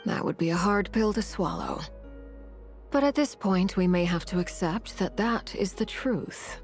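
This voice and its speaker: A woman playing a serious companion who speaks gravely and deliberately in a game cutscene. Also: medium pitch, animated, faint music behind, natural voice.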